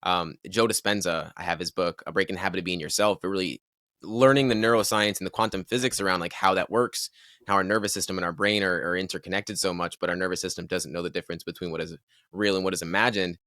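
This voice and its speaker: Deep, bright-edged male podcast host. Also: fairly even intonation, no echo, studio quality. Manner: reflective, halting with restarts. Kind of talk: casual podcast conversation.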